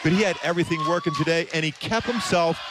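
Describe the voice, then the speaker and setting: A man's voice: fairly high pitch, fast talker, noisy background. A man in his fifties giving emphatic, conversational postgame analysis as a sports commentator. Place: open broadcast desk at a ballpark concourse. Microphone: headset.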